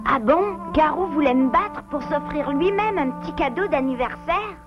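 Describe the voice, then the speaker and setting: A woman's voice: sits high, expressive, moderate pace, muffled recording, loud music behind the voice. A woman voicing a cheerful, kind young boy beaver in a cartoon. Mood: curious. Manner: kind, eager, playful. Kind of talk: French cartoon dubbing.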